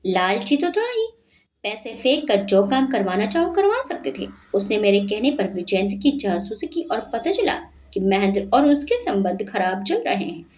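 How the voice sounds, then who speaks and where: A woman's voice: medium pitch, sing-song, moderate pace, darkish tone, natural voice. A woman voicing a greedy, corrupt male politician in an audio story, bitter and resentful. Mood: bitter confession. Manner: snarling through gritted teeth, resentful.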